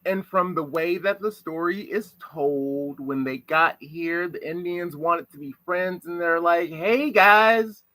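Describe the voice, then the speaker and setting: A man's voice: fairly high pitch, slow pace, hardly any pauses, sharp tone, no echo, rough recording. A man from the United States giving a reflective monologue on social issues, asking rhetorical questions. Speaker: commentator.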